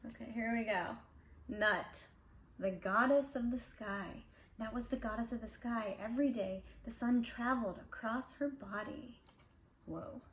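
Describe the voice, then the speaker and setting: A woman with a fairly high voice reads a children's book aloud. She slips between the text and chatty asides to a child. The room is echoey, with faint noise behind her. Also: a bit bright. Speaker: parent reading storybook aloud. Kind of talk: casual read-aloud story time for kids. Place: indoors at home. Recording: roomy echo, clear background noise.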